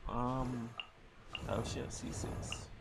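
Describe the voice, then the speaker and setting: Young man, game streamer, creaky voice, casual rambling slangy commentary, clear background noise. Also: mid-range voice, little pitch movement, slow. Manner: rambling, slangy, thinking aloud. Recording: noisy livestream mic.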